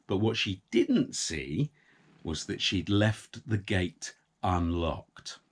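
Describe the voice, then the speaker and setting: A man narrating a story for young children, with deliberate, dramatic phrasing. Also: sits low, big pitch swings, slightly rough.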